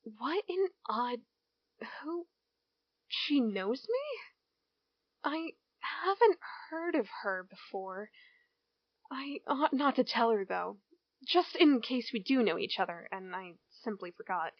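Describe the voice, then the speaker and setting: A woman playing a bored small-town teenage girl, voicing her thoughts in a slow, inward way. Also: fairly high pitch, big pitch swings, dry recording, dull audio, full character voice. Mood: wistful.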